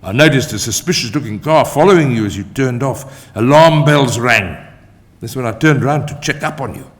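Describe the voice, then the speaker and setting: A man's voice: mid-range voice, normal speed, lightly acted. A man voicing a protective, commanding male rescuer, firm and in control, in a novel read aloud. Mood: explaining. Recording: echoey hall, long reverb.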